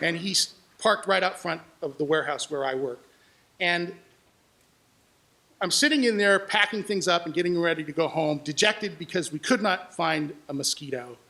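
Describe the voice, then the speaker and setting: A man gives a public presentation as a sound editor, sharing anecdotes. His voice sits high for a man and has a lot of fry in it. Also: moderate pace, pushed and bright.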